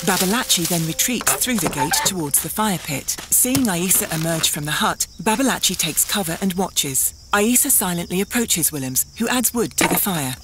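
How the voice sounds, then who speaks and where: A woman reading the stage directions of an audio drama in a measured, descriptive way. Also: on the low side, expressive, background noise. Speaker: narrator. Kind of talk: scripted narration of stage directions.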